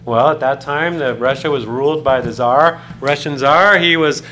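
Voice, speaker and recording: A man's voice: mid-range voice, moderate pace, bright, forceful tone, slight background noise. A man from the United States giving a conversational classroom lecture, often putting questions to his students. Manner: conversational, poses questions to students, loose with fillers. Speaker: college lecturer.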